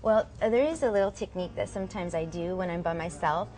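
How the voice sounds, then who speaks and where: A woman's voice: fairly low pitch, quick pace, a little mellow, poor audio. A young woman from Southern California, chatting casually as a guest singer and saying "like" and "um" often. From Southern California, USA. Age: young adult. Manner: chatty and friendly, many "um" and "like". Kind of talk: casual interview answers.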